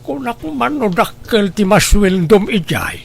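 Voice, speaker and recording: A man's voice: high-pitched, big pitch swings, unhurried, gravelly, full character voice. A blunt village husband and father, acted out by a man in an audio drama. He speaks plainly and disapprovingly, always cautioning his family. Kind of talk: scripted audio drama dialogue.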